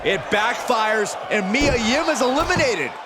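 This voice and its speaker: A man playing an excitable wrestling commentator in a video game, calling out over a loud crowd. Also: very high voice, unhurried. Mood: excited. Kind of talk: shouted sports commentary. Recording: loud crowd and arena noise.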